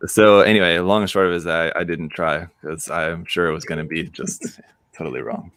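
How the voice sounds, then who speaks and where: A man with a very low voice, recorded dry and close. He chats in an easygoing, conversational way as the host of a casual panel. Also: steady tone, a little fast, fairly bright tone, clear, resonant tone, no echo. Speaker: livestream host.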